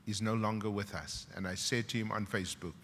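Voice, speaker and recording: A middle-aged man with a South African English accent giving a graduation speech as a university professor, a dramatic storyteller who swings from loud, wry punchlines to hushed, emotional moments. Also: fairly low pitch, fairly even intonation, brisk, slight background noise. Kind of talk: ceremonial speech with personal stories. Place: large graduation hall. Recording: long hall reverb from a ceremony PA.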